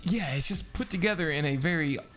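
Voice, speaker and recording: A man's voice: on the high side, fast talker, a bit bright, faint music behind. A man co-hosting a film review as a casual chat, with informal, slangy phrasing.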